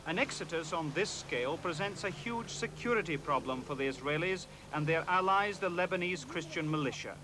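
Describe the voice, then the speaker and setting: A man narrating a scripted report as a foreign news correspondent. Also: on the high side.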